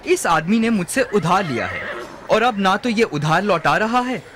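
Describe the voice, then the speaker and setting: A man playing a greedy, stubborn, scheming moneylender in an animated moral story, insistent yet obsequious. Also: sits high, moderate pace, background noise. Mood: complaining. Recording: music bed behind the voice.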